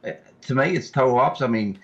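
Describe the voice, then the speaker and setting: A man's voice: mid-range voice, a little fast, a bit bright. A man speaking as a guest, an angler explaining things casually in a plain-spoken, informal way, recorded in dull lo-fi sound. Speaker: guest angler, tournament fisherman. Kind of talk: casual chat, recounting and explaining.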